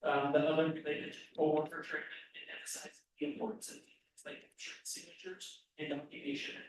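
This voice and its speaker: A man reads out audit figures county by county, stumbling with frequent ums and restarts. His voice sits a touch high and stays flat. It comes from far off the mic in a very echoey room. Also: fast, slight background noise. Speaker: state election audit official presenting results. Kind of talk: reading audit results at a public meeting.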